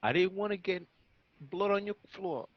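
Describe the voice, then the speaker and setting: Man playing a high-voiced, volatile hot-headed gangster. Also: normal speed, dry recording, natural voice. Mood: subdued. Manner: foul-mouthed, aggressive. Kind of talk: amateur radio play acting. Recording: dull lo-fi home recording.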